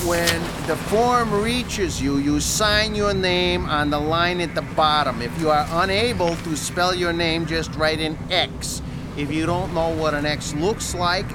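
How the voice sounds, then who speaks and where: Actor as high-voiced, officious, condescending supervisor. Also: male voice, close to an everyday voice. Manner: exasperated, patronising. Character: officious, impatient, condescending male staff supervisor. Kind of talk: audio drama acting. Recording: clear boat engine noise behind.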